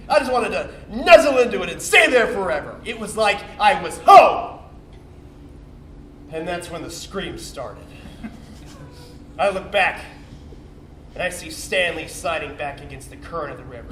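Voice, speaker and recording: A man's voice: on the high side, sharp tone. A man on stage playing a cocky, bitter young sperm cell, telling his story as a slangy, swearing, defensive bro in a dramatic comic monologue. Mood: grave, tense. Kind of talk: theatrical comic monologue, confessional storytelling to audience. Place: indoor theatre stage, recorded from a distance. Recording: roomy, distant stage recording with faint noise.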